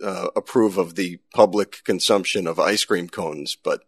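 Man hosting podcast, slightly low voice. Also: a little slow, husky, no room sound. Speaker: podcast host and interviewer. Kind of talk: scripted intro and conversational interview.

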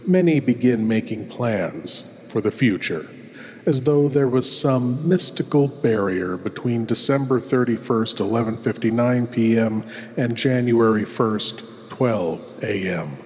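A man playing a suave, ghoulish horror host lord, speaking in a deliberate, intimate, theatrical way as he introduces scary tales. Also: medium pitch, lively intonation, darkish tone, close to an everyday voice. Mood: ominous. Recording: long reverberant hall echo.

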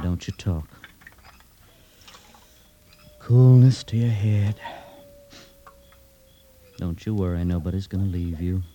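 An American actor playing a grim, steady, protective outlaw brother in a radio western, terse and deliberate. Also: male voice, low voice. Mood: tender. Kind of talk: old-time radio western acting. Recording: noisy vintage radio recording with clicks.

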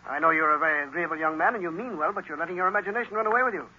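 Army general, rapid speech, higher-pitched, impatient, dismissive, old radio drama. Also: male voice. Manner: gruffly businesslike, impatient. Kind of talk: 1930s radio acting. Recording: noisy, dull 1930s radio recording.

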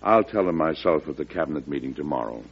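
An actor playing a shrewd, folksy, patient president in an old-time radio drama, speaking in a measured, deliberating way. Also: male voice, very deep voice, animated. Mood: conciliatory. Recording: dull old radio recording.

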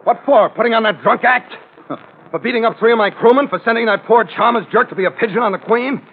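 An actor playing a tough, gruff sea captain in a 1940s radio adventure, clipped and commanding. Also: male voice, very high voice, fast. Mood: scolding, irritated. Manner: hard-boiled, clipped, commanding. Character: tough, gruff ship's skipper, hard-boiled sea captain. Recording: old tinny radio recording with loud music.

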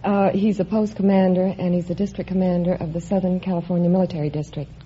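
A woman's voice: lowish voice, flat delivery, fast, dark tone, a little hiss or hum. A woman contestant giving polite, hesitant answers to a quiz host. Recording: dull old broadcast recording.

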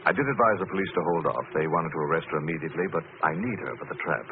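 An actor playing a shrewd, self-assured gentleman detective, composed and urbane, in old radio drama style. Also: a bass voice, lively intonation, racing. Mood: calm, scheming. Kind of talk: 1940s radio drama acting. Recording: narrow, noisy old radio recording.

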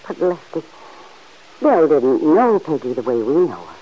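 A woman playing a kindly middle-aged farm aunt who gently chides the man in her home, in 1940s radio acting. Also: deep voice, very animated, slightly rough, exaggerated voice. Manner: gently chiding, motherly, quick to laugh. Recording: dull old radio recording.

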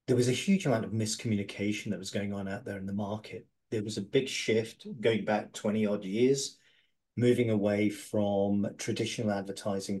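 A middle-aged man, an agency founder, answering interview questions in a measured way with many "uh"s and "um"s. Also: on the low side, a little mellow. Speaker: guest, agency founder and CEO.

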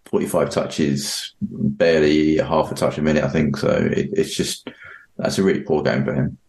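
Very deep-voiced man in an echoey room. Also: fairly even intonation, moderate pace, gravelly, a little mellow. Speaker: sports science analyst on a football podcast. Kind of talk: casual football discussion. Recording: roomy, echoing sound.

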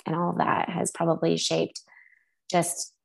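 A woman from Texas speaking as an interview guest, answering thoughtfully with many pauses and restarts. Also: lowish voice, monotone, vocal fry. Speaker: guest, high school teacher. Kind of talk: casual conversational interview.

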